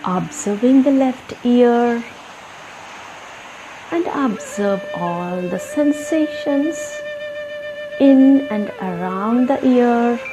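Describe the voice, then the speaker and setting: A woman leading a guided relaxation meditation, giving soothing, gentle instructions with long pauses between phrases. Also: on the high side, expressive, poor audio. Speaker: yoga meditation guide and channel host. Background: soft instrumental music.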